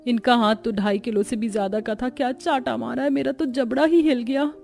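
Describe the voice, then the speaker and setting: A harried young daughter-in-law from a family cartoon, played by a woman, with a high voice. She is quarrelsome and sharp-tongued, complaining over a music bed. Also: steady tone, fairly quick, creaky. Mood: exasperated. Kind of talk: scripted cartoon dialogue.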